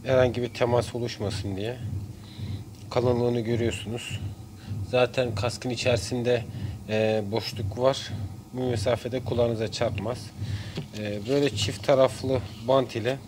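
A man giving a casual hands-on tutorial in short phrases with frequent pauses. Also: mid-range voice, steady tone. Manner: halting, pausing between short phrases. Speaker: DIY video maker. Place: indoors at a workbench. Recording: clear background noise, room ambience.

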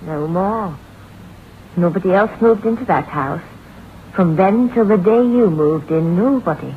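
An actress playing a gossipy, folksy country shopkeeper in an old-time radio drama, telling morbid tales with drawn-out pauses. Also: female voice, fairly low pitch. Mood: morbid relish. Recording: muffled, hissy vintage radio recording.

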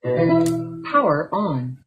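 A woman's synthetic prompt voice speaks very slowly through a small gadget speaker. It sounds husky, with a swooping pitch and music behind it. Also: wildly swooping pitch, lo-fi sound. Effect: small gadget speaker playback. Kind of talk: recorded device voice prompt.

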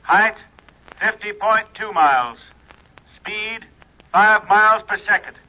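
A precise male radar operator in a vintage radio play. His high voice reads out data flatly, muffled by an old, dull recording. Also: slow pace. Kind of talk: 1950s radio drama acting.

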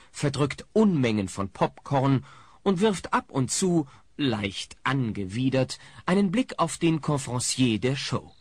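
A man's voice: medium pitch, slightly rough, no room sound. A man narrating a scripted audio play as its storyteller.

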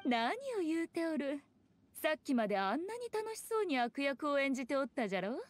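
Sly shrine maiden, high voice, elegant, haughty, teasing, archaic diction, video game dialogue. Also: female voice, sing-song, brisk, dry recording. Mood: amused, teasing. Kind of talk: Japanese video game acting.

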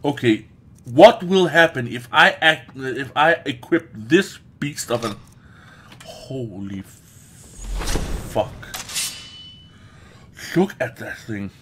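A man's voice: medium pitch, animated, very slow, long pauses, slight background noise. A man giving casual let's play commentary as host, informal and sweary, thinking aloud. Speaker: gaming video host.

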